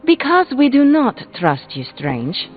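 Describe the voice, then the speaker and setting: Scornful young sorceress in a game, curt and defiant, pitch swings a lot, tinny audio. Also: female voice, huge pitch swings, slow, heavily acted. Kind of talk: video game character dialogue. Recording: thin band-limited game audio with music behind.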